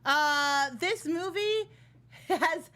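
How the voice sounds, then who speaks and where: A woman's voice: very high voice, very slow, bright, forceful tone. A woman speaking as a guest panelist on a film review show, chatting in a breezy, informal, slangy way. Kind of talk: casual, chatty panel discussion.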